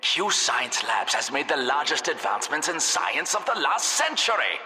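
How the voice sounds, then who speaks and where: An actor playing a gleefully sadistic, petty scientist narrating a video game test, theatrical and sarcastic, over a reverberant announcer microphone. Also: male voice, fairly high pitch, moderate pace, exaggerated voice. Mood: frantic, indignant. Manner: condescending, deadpan sarcasm breaking into indignant outbursts. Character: gleefully sadistic, petty mad male scientist supervising tests. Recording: echoey hall with long reverb.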